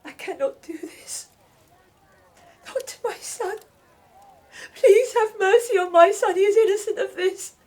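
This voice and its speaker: A condemned royal mother in a melodramatic audio drama, acted by a woman. Her voice is extremely high and strained with anguish. She pleads slowly and desperately for her child. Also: darkish tone. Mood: pleading, tearful.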